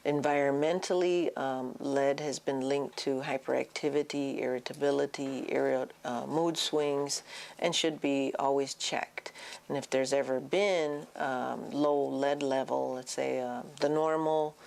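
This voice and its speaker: A middle-aged woman speaking as a child psychiatrist, giving an informational health talk in a halting, deliberate way. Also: extremely low pitch, gravelly, a little mellow.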